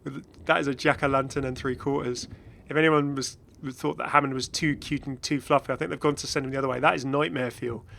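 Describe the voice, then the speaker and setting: A man hosting a gaming video with casual, excited reaction commentary in a rambling, exclamatory way. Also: mid-range voice, quick pace, creaky, hardly any pauses. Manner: rambling, exclamatory, chuckling asides.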